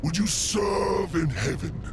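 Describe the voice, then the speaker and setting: An actor playing a grand, tempting fallen angel villain in a video game, with theatrical delivery. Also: male voice, high-pitched, takes it slowly, creaky, raised voice, heavy background noise, exaggerated voice. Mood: commanding, tempting. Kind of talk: video game cutscene acting.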